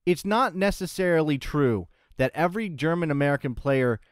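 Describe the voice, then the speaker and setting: A man's voice: on the high side, animated, normal speed, clear, resonant tone, no room sound. A young adult man co-hosting a podcast, arguing his point emphatically with many restarts, on a studio mic in a small room. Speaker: podcast co-host. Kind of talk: casual opinion chat on soccer. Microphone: studio mic on arm, headphones.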